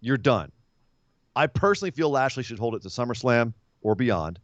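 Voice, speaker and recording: A man with a slightly hoarse voice talks with animated conviction, laughing easily as he argues his picks. The sound is dull and lo-fi, dry and close, like a remote podcast mic. His pitch sits in a middle range. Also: normal speed, dry recording. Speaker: podcast co-host. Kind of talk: casual wrestling podcast chat.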